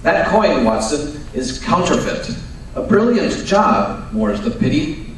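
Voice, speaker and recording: A fairly high, very animated voice, a man acting an irritable, imperious Victorian detective in an old-time radio play. He is curt and emphatic, heard in a strongly echoing room. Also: slow. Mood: indignant. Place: live performance at a radio club event. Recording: roomy, distant live stage recording with hiss.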